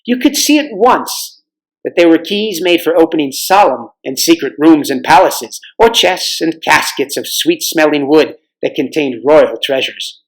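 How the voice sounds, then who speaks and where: A man narrates a children's novel as a read-aloud. His voice sits a little higher than usual for a man, and he delivers it as storybook narration. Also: expressive, a little slow, rough recording. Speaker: volunteer storybook reader.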